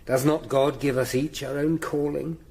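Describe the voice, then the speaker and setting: An actor playing a gentle, concerned Tudor lawyer in a period radio drama. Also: male voice, on the high side, fairly even intonation, normal speed, natural voice. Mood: gentle, coaxing.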